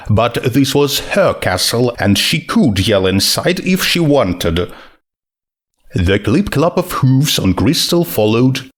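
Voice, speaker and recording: A man narrating a written comic story as a scripted audiobook-style reading. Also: mid-range voice. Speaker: narrator of fan fiction.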